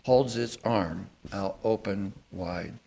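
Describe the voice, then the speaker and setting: A man speaking to a congregation in an earnest, measured way, giving a sermon and liturgical readings. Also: fairly low pitch, creaky, warm tone. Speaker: service speaker at a church.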